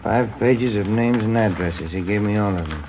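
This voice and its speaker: A low-voiced, easygoing detective, acted by a man reports back in a relaxed, plain way. The sound is dull and lo-fi, with faint hiss. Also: normal speed, natural voice. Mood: reporting. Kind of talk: 1940s-50s radio drama acting. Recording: lo-fi vintage radio broadcast with faint hiss.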